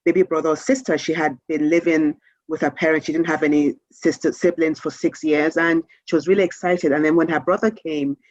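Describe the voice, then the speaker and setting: A woman's voice: low-pitched, steady tone, brisk, darkish tone, no echo, dull audio. A woman from Nigeria, answering interview questions conversationally as an author.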